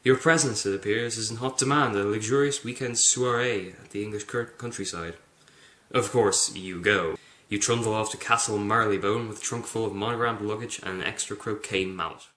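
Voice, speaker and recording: A man's voice: lowish voice, steady tone, a little fast, pushed and bright. A man reviewing a book and reading it aloud theatrically, addressing the listener directly. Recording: roomy, echoing indoor sound.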